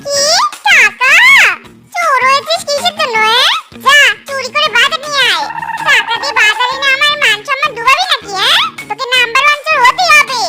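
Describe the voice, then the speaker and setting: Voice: very high-pitched, fairly quick. A performer voicing a bossy, scheming, nagging wife of a fox thief in a comic cartoon, with dramatic scolding. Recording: children's cartoon music behind the voice.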